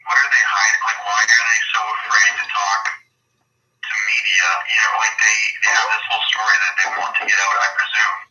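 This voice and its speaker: A man's voice: lowish voice, flat delivery, a little fast, sharp tone, soft background music. A man speaking casually and hesitantly in a replayed recording. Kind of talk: casual conversation. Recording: muffled, distant replayed recording.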